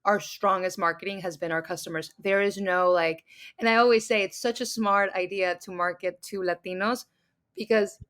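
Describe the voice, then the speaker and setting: A woman's voice: mid-range voice, fairly even intonation, moderate pace. A young adult woman from Mexico, a business founder chatting enthusiastically in an interview, often using fillers like "um" and "like". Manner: enthusiastic, warm gratitude, fillers like "um" and "like". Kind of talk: casual interview chat.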